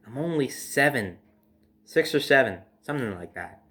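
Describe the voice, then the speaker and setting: A young adult man telling a casual personal story as host, in a dramatic, emphatic manner with drawn-out phrases. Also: mid-range voice, lively intonation, sharp tone.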